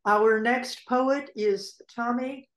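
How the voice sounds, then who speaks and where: Echoey, high-voiced woman hosting. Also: steady tone, slow pace. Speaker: host. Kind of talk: casual introductions of readers. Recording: roomy, echoey video-call sound.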